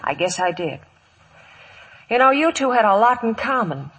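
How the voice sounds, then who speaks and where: A woman's voice: on the high side, very animated, normal speed, fairly bright tone, husky, exaggerated voice, low-quality recording. An actress playing a weary, wry saloon woman who also narrates, in 1950s radio drama style. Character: weary, wry Old West saloon woman telling her story. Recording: old 1950s radio broadcast, faint music.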